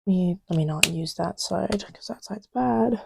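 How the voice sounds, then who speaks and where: A woman playing an easygoing girlfriend in a soft ASMR roleplay, rambling hesitantly in a breathy murmur that often drops to a whisper. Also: mid-range voice, unhurried, noisy background. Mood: relaxed, musing. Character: easygoing girlfriend chatting idly while testing markers.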